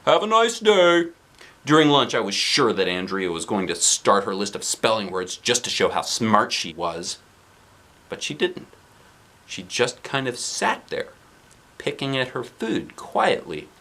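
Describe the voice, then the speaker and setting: A man narrating a children's storybook aloud in an expressive, dramatic way. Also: fairly low pitch, measured pace. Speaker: storybook reader.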